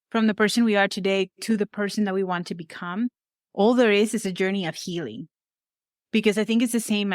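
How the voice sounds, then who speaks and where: A woman's voice: medium pitch, no room sound, studio quality. A young adult woman with a Venezuelan accent, a life coach speaking earnestly as a podcast guest. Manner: earnest, reflective, speaks of faith warmly. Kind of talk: conversational interview.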